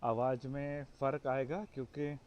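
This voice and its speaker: A man giving a spiritual discourse with a hoarse throat, asking questions and then answering them himself. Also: medium pitch, little pitch movement, a little slow, dull audio. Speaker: spiritual teacher.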